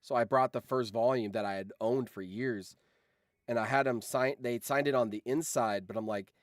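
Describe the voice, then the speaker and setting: A man with a mid-pitched voice talks casually on a livestream, close to the mic with a dry sound. He rambles through anecdotes like an enthusiastic fan, informal and happy to swear. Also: moderate pace, no echo. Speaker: streamer and voice actor.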